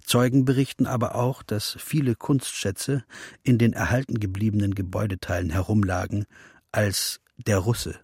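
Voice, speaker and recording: A man playing a sober, sardonic chronicler, narrating in a measured, precise documentary style for a radio drama. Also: fairly low pitch, steady tone, a little mellow, breathy edge, no room sound. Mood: dryly ironic.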